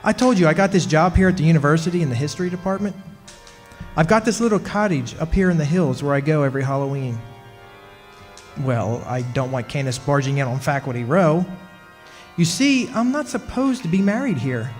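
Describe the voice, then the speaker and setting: A man's voice: fairly high pitch, animated, fairly quick, lightly acted. A man playing a henpecked, weary history professor in an old-time radio drama, confiding hesitantly and stammering when flustered. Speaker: actor in a live radio play. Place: club hall stage. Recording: reverberant hall, music behind.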